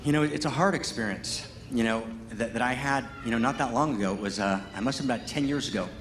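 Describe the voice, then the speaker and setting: A man's voice: medium pitch, fast talker, a little hiss or hum. Chatty man preaching in an echoey hall. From Pittsfield, Massachusetts, US. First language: Hebrew. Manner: conversational, peppered with "you know" and "right?", building to emphatic passages. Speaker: guest teacher at a Sunday service. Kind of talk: sermon told as humorous personal story. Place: large church hall. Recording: echoey church hall with faint ambience.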